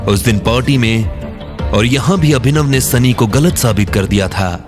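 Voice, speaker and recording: A man's voice: medium pitch, normal speed, over music. A man reading scripted narration as the storyteller of an audio drama.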